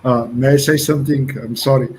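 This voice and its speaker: A man's voice: mid-range voice, steady tone, fairly quick, a little mellow. Man from Bulgaria, earnest panelist, faint noise under the line. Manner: earnest, apologetic, hesitant. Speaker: panel participant. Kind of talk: discussion on a web forum call.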